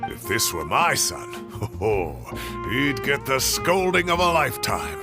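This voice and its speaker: An actor playing a kindly, fussy old professor in a video game, with a gravelly, aged, grandfatherly voice. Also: mid-range voice, slow, over music. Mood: indignant. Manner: grandfatherly, expressive, indignant at times.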